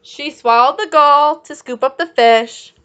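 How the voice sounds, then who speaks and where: A woman reading a picture book aloud as a children's teacher, encouraging young listeners with questions and pausing for their answers. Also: high-pitched, fairly bright tone, dull audio.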